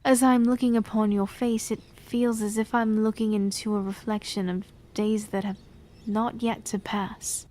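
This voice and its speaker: A woman voicing a cursed young queen who speaks in a slow, formal, solemn way in a fantasy audio drama. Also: mid-range voice, flat delivery, darkish tone, natural voice. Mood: weary.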